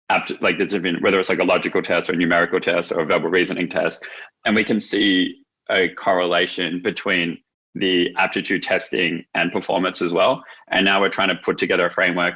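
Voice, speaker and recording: Low, bright-voiced man explaining informally. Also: slightly rough, muffled recording. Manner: informal, wordy explaining with frequent "like" and "you know". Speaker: business founder, podcast guest. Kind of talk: conversational interview answers, explaining.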